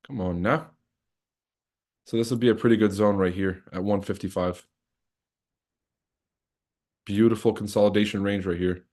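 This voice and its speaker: A man's voice: fairly low pitch, a little mellow. A young man hosting a trading livestream, chatting loosely with his chat, joking and swearing freely.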